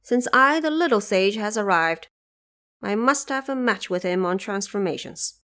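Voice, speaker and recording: A woman's voice: medium pitch, animated, normal speed, pushed and bright. Woman playing young divine warrior lord, male, proud, declamatory, formal audio drama delivery, self-assured. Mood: confident, formal. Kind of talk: mythological audio drama acting.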